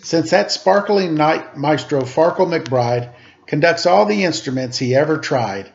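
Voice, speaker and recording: A man reading aloud to children as a storyteller, with theatrical, drawn-out emphasis and casual asides. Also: fairly high pitch, expressive, gravelly, slight background noise. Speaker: storybook reader, teacher. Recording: muffled lo-fi recording.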